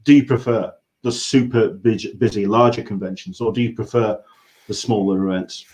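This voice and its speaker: Halting English man hosting a casual chat. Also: on the low side, fairly even intonation, a little mellow. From England. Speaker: interviewer and host. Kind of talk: casual livestream conversation.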